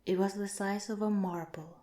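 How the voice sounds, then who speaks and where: A woman voicing a calm, clinical alien researcher in an ASMR roleplay, speaking softly with measured, formal politeness. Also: mid-range voice, natural voice. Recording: roomy, echoing sound.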